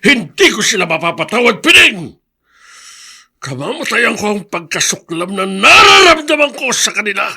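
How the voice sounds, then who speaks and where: Raspy, high-strung, vengeful old Filipino grandfather. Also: very animated, normal speed, bright, forceful tone, full character voice. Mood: vowing hatred. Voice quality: raspy, strained. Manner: scornful, explosive, emphatic. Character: bitter, vengeful old grandfather, quick to rage. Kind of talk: melodramatic Filipino radio drama acting.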